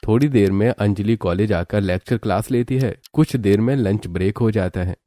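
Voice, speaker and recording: Mellow male cartoon story narrator. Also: fairly low pitch, normal speed, dry recording.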